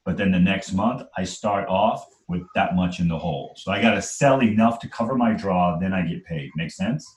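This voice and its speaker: A man in his forties from Trinidad speaks in a very low voice and tells his story earnestly as an interview guest. He leans into his points and keeps checking in with "right?". The room around him adds a clear echo. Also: moderate pace, hardly any pauses. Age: 40s. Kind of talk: conversational storytelling.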